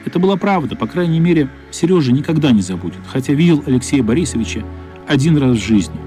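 A man's voice: mid-range voice, animated, fast, warm tone. A man narrating a literary story for a radio play, as a scripted narrator. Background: faint music.

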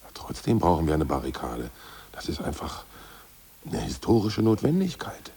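An ousted old party boss in a radio play, plotting in a deep, gravelly voice that swings up and down. His tone is husky and dark, and he often drops to a hushed whisper. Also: wildly swooping pitch, normal speed, exaggerated voice. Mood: scheming. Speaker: ousted Politburo member. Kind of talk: 1980s radio play acting.

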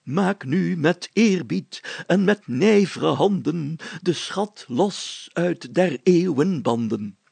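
Guy doing pompous old-fashioned mayor, highish swoopy voice, declaims everything, gets huffy fast. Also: expressive, gravelly, a little mellow, slightly rough, dry recording, full character voice. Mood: reverent, declamatory. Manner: pompous, declamatory, formal wording. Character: pompous, solemn, easily irritated male village mayor. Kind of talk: children's story character acting.

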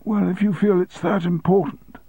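A man's voice: sits high, fairly even intonation, moderate pace, warm tone, slightly rough, no room sound, highs cut off, voice pushed up. A man voicing an apologetic deputy chairman who is ill with flu, in a thin reedy voice. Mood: reluctant.

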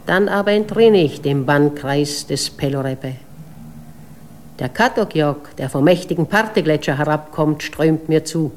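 An actress playing a proud, wild river spirit, reciting a lyrical, solemn monologue in a poetic radio play. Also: female voice, low-pitched, a little slow, darkish tone, faint music behind. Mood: solemn, flowing. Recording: noisy recording with ambient sound.

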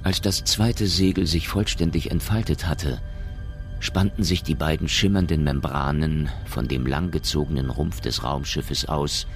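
An actor narrating a radio drama as a grave, measured storyteller. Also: male voice, very deep voice, steady tone. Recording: very loud dramatic music underneath.

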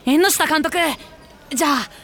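A man playing an excitable, eager schoolboy film assistant in an anime comedy drama, animated throughout. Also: very high-pitched, normal speed, music in the background. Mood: excited.

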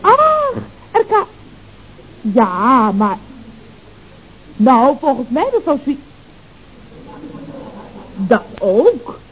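High, slow-talking naive secretary. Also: female voice, huge pitch swings, heavily acted. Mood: flustered. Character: naive, matter-of-fact female office secretary. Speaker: actress in a radio comedy serial. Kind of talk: 1970s Dutch radio comedy acting. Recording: dull, noisy old radio recording.

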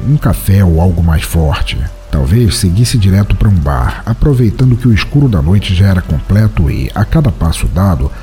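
A man playing a melancholy, solitary writer who walks at night, narrating in a measured, introspective way in a literary audio drama. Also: low voice, mellow.

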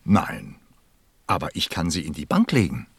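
An actor playing a busy, self-important middle-aged businessman in a radio play, with a gravelly, husky voice and a testy, muttering manner. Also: rumbling low, big pitch swings, moderate pace, no room sound, exaggerated voice. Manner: pompous, testy, muttering, swooping. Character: busy, self-important 54-year-old businessman counting stars.

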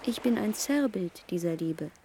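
An actress playing a mournful, defiant virtual daughter in a science-fiction radio play, speaking in a deliberate, trance-like way. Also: female voice, medium pitch, lively intonation, warm tone, a little hiss or hum, lightly acted. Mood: quietly defiant. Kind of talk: German radio play acting.

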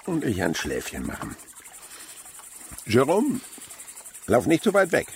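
An actor playing an aging, genial naturalist leading a hike in a German radio play, with a gravelly older voice. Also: male voice, medium pitch, huge pitch swings, measured pace, a little hiss or hum. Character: aging male insect researcher, genial, fond of food, leading a hike.

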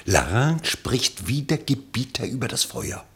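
Ein Mann liest als Erzähler eines Hörspiels einen literarischen Text mit dramatischem Ausdruck. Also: male voice, mid-range voice, very animated, unhurried, fairly bright tone. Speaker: narrator. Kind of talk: scripted radio play narration, literary and dramatic.

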